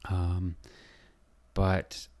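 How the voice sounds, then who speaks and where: A man with a hoarse, scratchy voice, losing his voice, talking through a software design tutorial and thinking aloud with lots of pauses and fillers. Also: low-pitched, completely flat delivery. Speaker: building designer.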